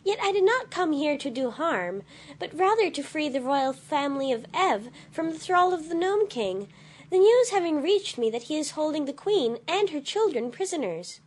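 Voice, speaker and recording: A woman playing a gentle, dignified girl ruler in a dramatized storybook, sweet, poised and firm. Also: high voice, very animated, normal speed. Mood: calm, firm.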